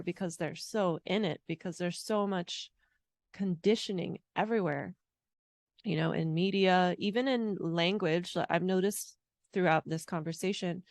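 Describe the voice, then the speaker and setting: Lowish woman's voice, dry no-room sound, rambly chat, lots of like and you know. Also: a little mellow, dry recording. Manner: rambling, filled with "like". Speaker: podcast guest, intuitive mentor. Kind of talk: casual conversation.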